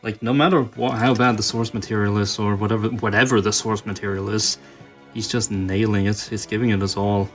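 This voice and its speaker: Low-pitched man, halting casual commentary. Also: fairly even intonation, faint music behind. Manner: halting, musing aloud. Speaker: toy customizing video host. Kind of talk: casual hands-on tutorial commentary.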